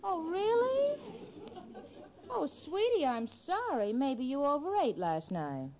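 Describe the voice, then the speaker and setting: A level-headed young city roommate with a wry, breezy wit in a 1940s radio sitcom. Her pitch is high and swings widely. The recording is dull and old. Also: very animated, slow, full character voice. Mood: concerned, coaxing.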